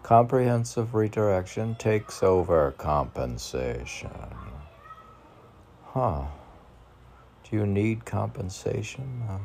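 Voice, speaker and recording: Older guy rambling poetry podcast, really slow n gravelly, keeps asking himself stuff, bit of background noise. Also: lowish voice, lively intonation, a little mellow. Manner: musing, halting, questioning himself aloud. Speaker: poet reading own poems on a podcast. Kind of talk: rambling solo talk with poem readings.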